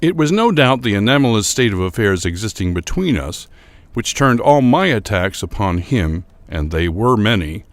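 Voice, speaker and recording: Male narrator for gothic horror audio drama: lower register, sweeping intonation, formal and measured literary delivery. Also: big pitch swings, no room sound.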